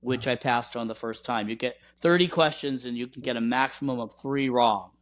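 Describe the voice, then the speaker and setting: A man hosting a podcast, explaining things in a measured, conversational way. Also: mid-range voice, a bit bright. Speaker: podcast host.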